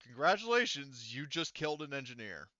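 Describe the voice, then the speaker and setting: A man narrating a tabletop game casually as game master, in an animated, informal way with some swearing. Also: mid-range voice, fairly quick, dry recording, lo-fi sound.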